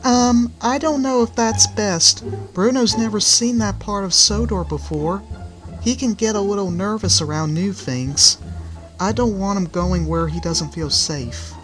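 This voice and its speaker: A man voicing a kindly, protective female tank engine in a children's railway story, with a gentle, teasing manner. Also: sits high, unhurried, music in the background, voice pushed up. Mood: worried.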